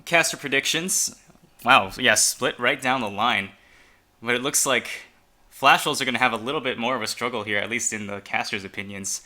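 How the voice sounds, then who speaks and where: Very bright-voiced male esports caster. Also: medium pitch, normal speed. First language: Chinese. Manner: announcing players one by one, casual and chatty. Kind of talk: fan esports commentary.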